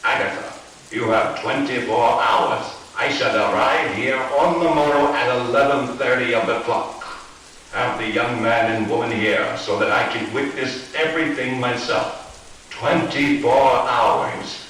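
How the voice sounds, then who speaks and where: A man's voice: medium pitch, unhurried, a bit bright, natural voice. A man playing a haughty, menacing Devil in a horror-comedy radio play, with a grandiose, theatrical delivery. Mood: gloating, menacing. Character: the Devil, male lord of darkness, haughty, menacing, sporting. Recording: echoey, distant, hissy.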